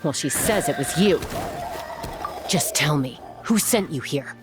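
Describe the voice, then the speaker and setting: A woman's voice: low voice, animated, a little slow, over music. A woman voicing a tough young peacekeeper in a video game, blunt and protective, with a cool, commanding manner. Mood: menacing.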